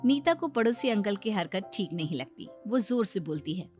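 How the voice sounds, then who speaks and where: A woman's voice: mid-range voice, very animated, normal speed, a little mellow, faint music behind. A woman narrating a scripted children's story as a storyteller.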